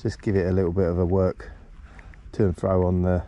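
A man's voice: low voice, flat delivery, brisk, dark tone, lo-fi sound. A middle-aged man with a bit of a cold, chatting casually and muttering to himself as he fishes outdoors. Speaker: angler filming a fishing vlog. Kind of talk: casual chat while fishing. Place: outdoors on a riverbank. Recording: outdoor action camera with background ambience.

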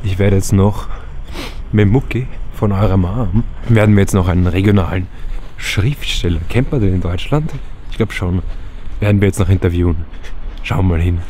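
A man's voice: lowish voice, moderate pace, long pauses, noisy background. A man in his twenties with an Austrian accent, talking casually to camera as a vlogger. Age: 20s. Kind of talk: casual vlog talk to camera.

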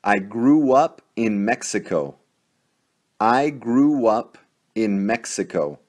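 A man teaching English, reading each drill sentence twice in a deliberate way. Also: fairly low pitch, animated, a little mellow. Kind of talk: slow, repeated drill sentences for learners.

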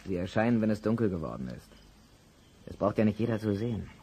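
A man playing a shady, secretive father with a rough, raspy voice in a German children's radio play. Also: on the low side, lively intonation, quick pace, warm tone.

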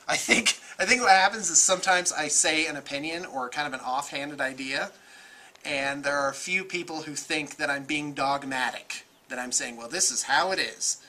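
An American man in his forties giving casual, off-the-cuff opinions in an animated, conversational way. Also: a little high, a little hiss or hum. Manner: animated, gesturing, conversational, self-qualifying. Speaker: vlogger, miniatures shop owner. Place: indoor room with bookshelves.

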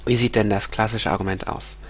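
A man's voice: on the low side, fairly even intonation, a little fast. Man with a stuffy cold lecturing. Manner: hesitant, many ums and restarts. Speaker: university lecturer. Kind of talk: recorded lecture with slides. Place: small room at home.

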